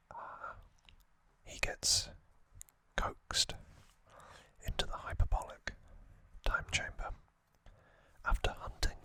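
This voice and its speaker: A man hosting ASMR, whispering a trivia recap in a breathy, unvoiced voice and short, halting phrases. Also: sharp tone. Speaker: ASMR host.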